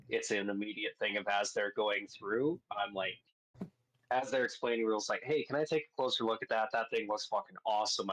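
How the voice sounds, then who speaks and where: Lowish-voiced man chatting informally. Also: a bit bright, low-quality recording. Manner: informal, sweary. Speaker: podcast panelist. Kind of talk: casual chat.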